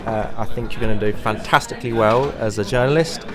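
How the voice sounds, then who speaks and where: A man's voice: on the low side, a little fast, a crowd in the background. A man, an actor about to play his first pantomime role, answering questions in an upbeat, friendly and eager way. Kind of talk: casual interview chat.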